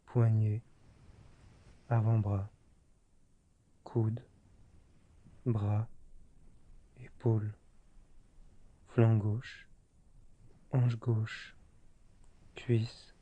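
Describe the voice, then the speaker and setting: Male guided-relaxation voice: very mellow and dark, very slow, soothing, with long pauses between short instructions. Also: on the low side, level tone, lo-fi sound. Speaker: meditation guide. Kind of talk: guided yoga nidra relaxation.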